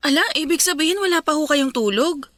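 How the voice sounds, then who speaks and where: Young Filipino working daughter, high voice, expressive, polite, affectionate, radio drama. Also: female voice, fast, no echo, clean studio sound. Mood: worried.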